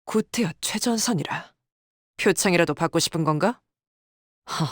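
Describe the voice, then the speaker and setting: A woman's voice: on the low side, dry recording, studio quality. A woman voicing a proud, stern female military tactician in a game, with clipped, formal, commanding delivery. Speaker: game character voice. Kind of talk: dramatic scripted game dialogue. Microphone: close, dry studio microphone.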